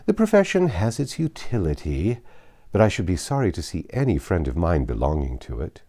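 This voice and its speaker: A vain, haughty old baronet from a classic storybook, acted out by a male reader. He speaks with pompous disdain and emphatic, highly varied intonation. The tone is soft-edged rather than sharp. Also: wildly swooping pitch, fairly quick, exaggerated voice. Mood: disdainful, indignant. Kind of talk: theatrical audiobook character reading.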